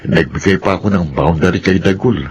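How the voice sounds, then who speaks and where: A man's voice: low voice, brisk, breathy edge. An actor playing a remorseful, struggling driver and father in a radio drama, apologetic and weary. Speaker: narrator and lead.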